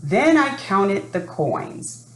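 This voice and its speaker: A woman presenting and reading a picture book to children in an expressive storytelling style, addressing young listeners directly. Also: mid-range voice. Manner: expressive, asks young listeners questions and pauses. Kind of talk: read-aloud storytelling for children. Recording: roomy sound with faint background noise.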